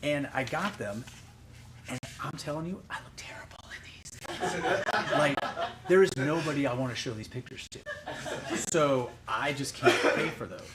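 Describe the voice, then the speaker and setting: A man's voice: medium pitch, moderate pace, heavy background noise, natural voice. A man playing a rambling, oblivious shopper in a live improv sketch, hesitant and full of fillers.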